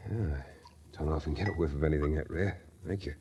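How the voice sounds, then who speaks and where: An actor playing a wry, terse, flirtatious hardboiled private detective in a 1940s radio drama. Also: male voice, a bass voice, brisk, noisy background. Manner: wry, terse tough-guy patter. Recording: 1940s radio recording with music.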